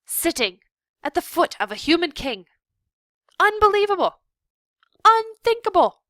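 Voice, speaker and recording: A woman's voice: on the low side, a little slow, a bit bright, dry recording. A pompous old father mouse who frets and blusters, flinging out theatrical outbursts, as a woman reader puts him on. He speaks in a very dry, close sound with no room around him. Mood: blustering.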